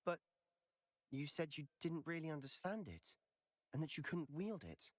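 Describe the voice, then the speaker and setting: An actor playing a bold, adventurous teenage wizard student in a video game, with a wry manner. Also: male voice, a little high, expressive, normal speed, dry recording, clean studio sound, close to an everyday voice. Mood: wry, curious. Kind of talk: video game cutscene acting.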